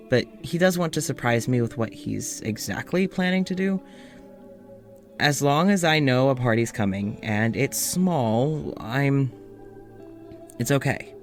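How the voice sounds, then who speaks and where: A man voicing a lonely, sleepless man confiding in his audio journal, halting and self-doubting, with frequent stammers and sighs. His voice sits at an ordinary middle pitch, and faint music plays underneath in this audio drama.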